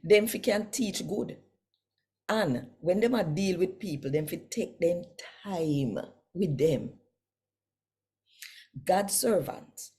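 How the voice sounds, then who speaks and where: A Jamaican woman reads scripture as a devotional host. Her voice is mellow and a little husky, and she takes her time. The delivery is expressive and deliberate, leaning on key words for emphasis. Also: fairly low pitch.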